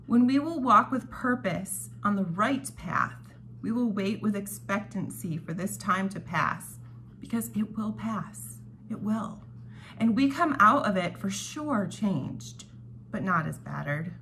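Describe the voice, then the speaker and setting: A woman's voice: medium pitch, lively intonation, slow pace, a bit bright. A woman hosting a devotional read-along, reading prepared reflections aloud with casual asides, recorded in a dining room at home. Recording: home recording with clear background noise.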